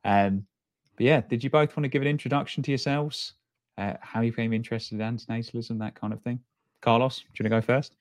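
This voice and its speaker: A man's voice: medium pitch, steady tone, fast. A man hosting a podcast, introducing the show and speaking with guests. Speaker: podcast host.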